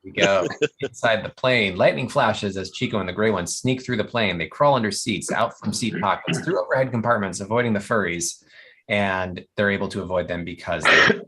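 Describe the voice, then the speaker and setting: A man's voice: on the low side, a little fast, pushed and bright. A man reading scripted stage directions as narrator at a comedy table read.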